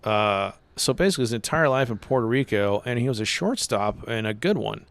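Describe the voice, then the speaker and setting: A man co-hosting a casual podcast about baseball, rambling and relaxed, saying "dude" a lot. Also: on the low side, fairly quick, no echo. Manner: laid-back, rambling, says "dude" a lot. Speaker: podcast co-host.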